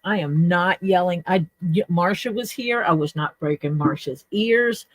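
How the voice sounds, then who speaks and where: A woman's voice: lowish voice, unhurried, no echo. Chatty woman crafting on a dry mic. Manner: chatty, explains tools step by step while working. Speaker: leatherworker livestreaming her work. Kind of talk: casual livestream chat while crafting. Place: home workspace.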